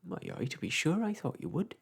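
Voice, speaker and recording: Man doing a garrulous old village clerk, gravelly, husky. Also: high-pitched, big pitch swings, a little fast, voice pushed up, full character voice. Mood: eager. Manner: rambling, folksy, lecturing.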